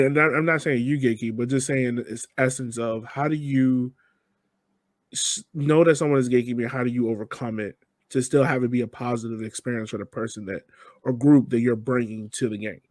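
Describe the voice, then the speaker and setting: A man's voice: mid-range voice, darkish tone, no room sound. A man with a mid-range voice hosts a podcast in a dry, close-sounding space. He is conversational and agreeable, thinking aloud in long, wordy turns. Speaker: podcast host. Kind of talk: casual conversational interviewing.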